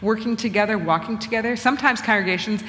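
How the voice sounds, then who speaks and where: A middle-aged woman bishop talks in an earnest, measured manner. She thinks aloud, often restarting and rephrasing her thoughts. Her voice rings with a long reverb in a church hall. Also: mid-range voice, a bit bright. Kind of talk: conversational church talk. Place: church sanctuary.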